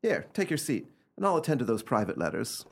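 Guy doing a bossy posh-worded gentleman heir, old radio play, theatrical n excitable, pitch all over, bit of noise behind. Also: brisk, gravelly. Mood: brisk, irritated. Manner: theatrical, commanding, formal old-fashioned phrasing. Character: imperious gentleman heir, coolly cruel, excitable.

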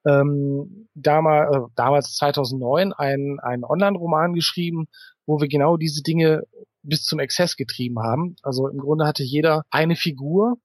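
A man with a voice a little higher than average, recorded close and dry. He talks as a guest in an interview, thinking aloud and explaining at length. His sentences wind along with hesitations as he looks for the right wording. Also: fairly even intonation, normal speed, no room sound. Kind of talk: conversational interview answers.

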